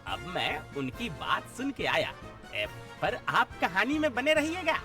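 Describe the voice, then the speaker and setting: A man's voice: high voice, huge pitch swings, measured pace, over music, full character voice. A man playing a whiny, work-shy clerk in a comic cartoon, cheeky and wheedling, with exaggerated delivery. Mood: cheeky, confiding.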